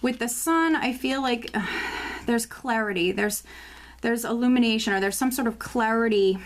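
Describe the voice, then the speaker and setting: A woman reading tarot cards for her viewers, talking in a casual, chatty way with many fillers and restarts, her voice slightly hoarse as she is losing it. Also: on the high side, normal speed. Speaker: tarot reader. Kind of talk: casual tarot forecast video.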